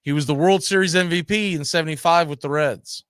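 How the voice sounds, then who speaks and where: A man's voice: on the high side, a little fast, a bit bright, clear, resonant tone, no room sound, studio quality. A man hosting a sports talk show, telling baseball history with an emphatic, storytelling delivery.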